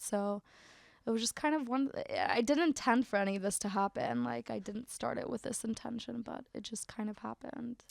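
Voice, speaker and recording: A woman's voice: fairly high pitch, vocal fry, dry recording, clean studio sound. A young American woman in her twenties, a podcast guest talking in a chatty, upbeat way with lots of likes and ums. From United States. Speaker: podcast guest, community founder. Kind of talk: casual interview chat.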